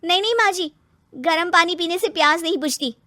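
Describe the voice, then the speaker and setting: A woman's voice: very high voice, fairly quick, sharp tone, dry recording, clean studio sound, voice pushed up. A woman voicing a young new mother in a cartoon story, a whiny, grumbling, put-upon daughter-in-law. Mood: defensive. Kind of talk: cartoon story character acting.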